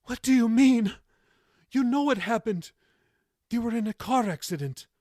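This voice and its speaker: Man voicing an anguished, high-pitched grandmother. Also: moderate pace, darkish tone, no room sound, clean studio sound. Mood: tearful, pleading. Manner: emotional, pleading. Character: anguished grandmother, hurt and exasperated, pleading. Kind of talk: audiobook character dialogue.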